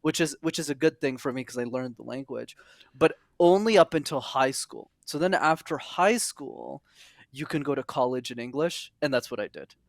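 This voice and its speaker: Young man, podcast guest, earnest, animated, quick asides, dry recording. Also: mid-range voice, creaky, no room sound. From Montreal, Canada. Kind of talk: casual interview.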